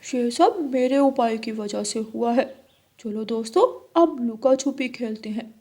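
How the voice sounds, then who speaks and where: Woman doing a cheeky, high-pitched young boy crow. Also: measured pace, mellow. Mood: gleeful. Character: young schoolboy crow, cheeky scheming truant.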